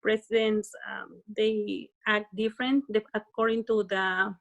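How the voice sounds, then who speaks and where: A woman from Peru speaking on a panel in a measured, thoughtful way. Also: mid-range voice, flat delivery, gravelly, fairly bright tone, dull audio. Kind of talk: casual discussion.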